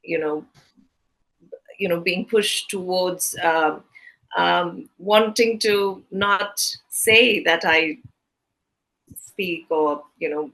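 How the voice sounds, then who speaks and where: A woman with an Indian English accent, a native Malayalam speaker, interviews at a very slow pace. Her voice is gravelly, bright and a little low for a woman, and she speaks haltingly through fillers and restarts. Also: rough recording. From southern India. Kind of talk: interview questions.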